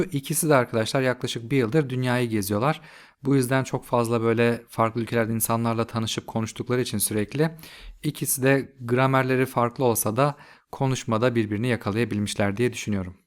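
A man's voice: mid-range voice, fairly even intonation, fairly quick. A man with a mid-range voice, a native Turkish speaker. He works as a teacher and host, moving between questions to guests and explanations for viewers. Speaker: English teacher and show host. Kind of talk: interviewing and explanatory commentary.

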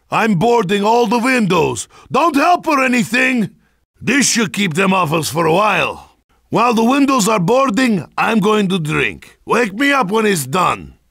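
An actor playing a hard-drinking, boisterous Russian soldier in a video game, gruff and sardonic, with a Russian accent and mocking broken English. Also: male voice, high voice, pushed and bright, dry recording, studio quality, heavily acted. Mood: boastful, grumbling. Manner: blustering, mocking, broken English with dropped articles. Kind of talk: video game character barks.

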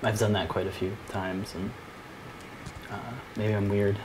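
Slightly hoarse man hosting casually. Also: lowish voice, measured pace, background noise. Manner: relaxed, rambling, laughs often. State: losing his voice. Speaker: co-host. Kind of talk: casual live-stream chat.

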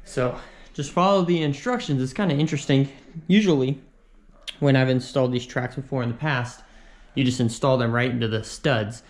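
A young handyman talks through his work in a small indoor room at an easy, chatty pace. His voice sits at an ordinary middle pitch, picked up by a camera a few steps away. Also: normal speed. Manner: explains while working, relaxed and chatty. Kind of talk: casual work narration.

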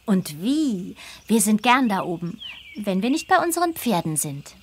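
A woman's voice: medium pitch, sing-song, normal speed, slight background noise, close to an everyday voice. A woman voicing a friendly, confident teenage farm girl in a children's game, upbeat and encouraging. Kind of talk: children's game dialogue acting.

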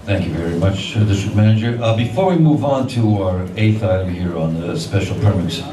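A noisy room recording picks up a middle-aged man from a distance. He has a fairly low voice and chairs a public meeting informally and haltingly. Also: reverberant. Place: community meeting room, indoors.

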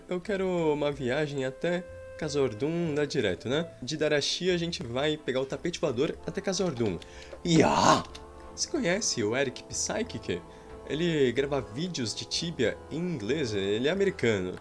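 A man's voice: on the high side, animated, normal speed, soft background music. A man giving playful, casual commentary over a game, adding his own combat sound effects, recorded at a home desk mic. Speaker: gaming YouTuber. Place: small room at home.

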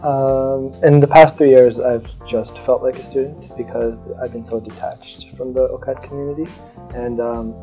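Young man born in India, mellow voice. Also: medium pitch, steady tone, moderate pace, soft background music, poor audio. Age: young adult. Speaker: university student. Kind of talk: casual conversation with a stranger.

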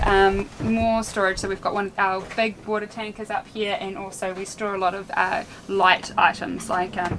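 A young woman from New Zealand chattily showing the cabin of her sailboat, pointing things out as she walks through. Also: mid-range voice. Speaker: sailing vlogger giving a boat tour. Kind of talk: casual walkthrough tour. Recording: camera audio with clear background noise.

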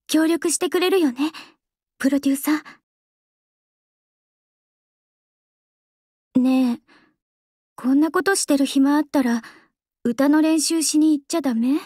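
An actress voicing a young female idol singer in a game, calm and composed, soft-spoken and earnest. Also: high-pitched, slow pace, long pauses, no echo, studio quality. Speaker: game character voice. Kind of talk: game voice lines. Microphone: close studio mic, dry.

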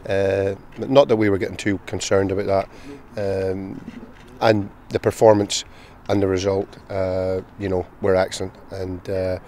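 Northern Irish manager, low flat voice. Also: male voice. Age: middle-aged. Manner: measured, many "you know" fillers. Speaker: football manager. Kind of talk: post-match interview. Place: outdoors at a football stadium pitchside. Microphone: handheld interview mic, close camera.

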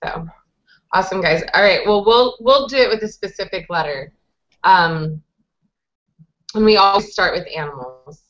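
A woman's voice: medium pitch, normal speed, pauses often. A woman in her thirties leading a casual online English lesson, patiently explaining game rules step by step over a webcam video call. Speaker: English conversation teacher. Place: small indoor room with whiteboard. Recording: dull lo-fi video call audio.

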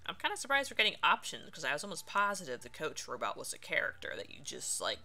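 A woman's voice: fairly low pitch, big pitch swings, fast, bright, forceful tone, faint music behind. A woman streamer giving casual, playful commentary on a video game, reacting aloud as she plays. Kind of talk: casual let's play commentary.

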